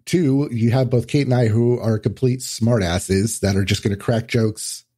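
Husky, raspy man chatting casually. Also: medium pitch, fairly even intonation, moderate pace, dark tone. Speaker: podcaster guest. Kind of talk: casual conversational podcast chat.

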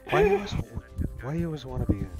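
A man's voice: fairly high pitch, wildly swooping pitch, racing. A man improvising his part in a roleplay over game voice chat. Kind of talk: improvised virtual reality roleplay. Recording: dull game voice chat, music behind. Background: clear background music.

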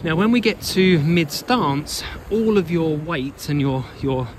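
A man's voice: a little high, lively intonation, slow. A man coaching runners in an off-the-cuff walking vlog, instructive and emphatic, repeating phrases as he explains, recorded outdoors on a phone. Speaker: running coach and blogger. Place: outdoors on an open sandy beach. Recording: noisy outdoor beach ambience.

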